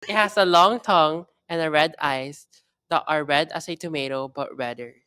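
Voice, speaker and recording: A woman's voice: low voice, normal speed, fairly bright tone, smooth, voice pushed down. A woman voicing a teasing old Filipino grandmother who tells stories soothingly, in a read-aloud children's story. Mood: spooky storytelling.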